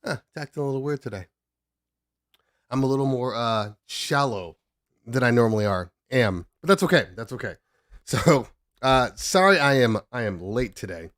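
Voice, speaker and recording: A man's voice: medium pitch, animated, moderate pace, fairly bright tone, no room sound, clean studio sound. A man hosting a casual DJ livestream, congested and just out of bed, rambling and joking as he sets up at his home desk. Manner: rambling, self-interrupting, joking. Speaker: DJ livestream host.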